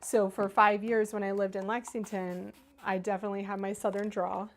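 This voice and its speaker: A woman's voice: medium pitch, level tone, a little fast, a little hiss or hum. A middle-aged woman from Northern Kentucky with a Midwestern American accent, chatting enthusiastically as she demonstrates cardmaking on a live stream and answers viewers. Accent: Ohio-like Midwestern American. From Northern Kentucky, USA. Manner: chatty, enthusiastic, talks while working. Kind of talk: casual craft demo, reading live chat.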